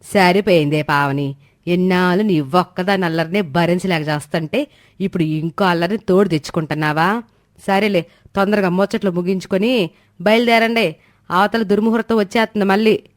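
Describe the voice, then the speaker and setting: A woman's voice: fairly low pitch, a little fast, dry recording, studio quality, close to an everyday voice. A woman voicing mischievous young women of a village family in dramatic, chatty story dialogue. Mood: teasing scolding. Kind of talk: animated moral story dialogue.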